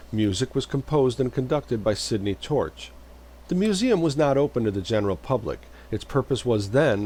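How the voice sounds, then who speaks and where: Man, informational narrator, scripted reading, mid-pitched, animated intonation. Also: slight background noise.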